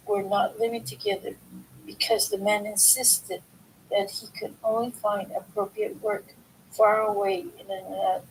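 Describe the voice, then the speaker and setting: A woman reads a book aloud in an informal, halting way, going back over words she trips on. Her voice sits at a middling pitch with a noticeable creak. There is faint noise and a low rumble in the recording. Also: rough recording. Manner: halting, stumbles over words and corrects herself. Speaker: reader of a book aloud, video host. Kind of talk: informal read-aloud of a self-help philosophy book.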